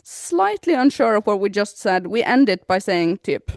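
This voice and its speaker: A woman's voice: medium pitch, very animated, normal speed, no room sound, clean studio sound. Bubbly Norwegian woman, casual podcast chat. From Norway. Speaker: podcast co-host.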